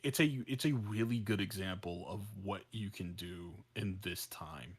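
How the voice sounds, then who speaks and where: A man's voice: low-pitched, measured pace, slightly rough. A man co-hosting a podcast in a casual chat, talking in a hesitant, rambling way with repeated words. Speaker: podcast co-host.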